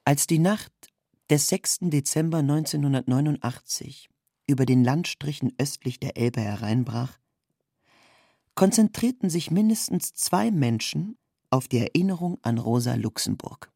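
A narrator reads literary prose with deliberate, emphatic phrasing. The voice is raspy and full of fry. It is recorded very dry, with no room around it. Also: medium pitch, lively intonation, dark tone, no echo. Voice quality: creaky, husky.

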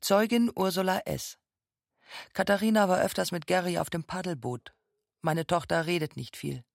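A woman's voice: on the low side, moderate pace, vocal fry, no room sound, studio quality. An actress playing a mother giving plain, matter-of-fact witness testimony in a documentary radio play. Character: woman witness, mother describing her children's holiday friendships.

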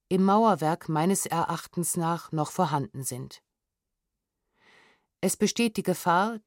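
A woman with a slightly low voice reads archival documents as a narrator. She is formal, factual and restrained, with careful diction. The recording is dry and studio-close. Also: a little mellow, no echo. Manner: factual, restrained, precisely enunciated. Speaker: narrator reading historical documents.

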